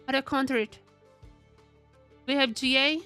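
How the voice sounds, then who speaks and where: A woman game streamer giving casual, expressive live commentary, often repeating her phrases. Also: sits high, normal speed, smooth, faint music behind.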